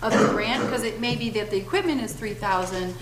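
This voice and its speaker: A woman's voice: medium pitch, brisk, over a noisy crowd. A woman on a town board reasoning through a question aloud at a public meeting. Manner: thinks aloud, frequent "like". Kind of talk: meeting discussion. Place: town hall meeting room. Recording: echoey room with people around.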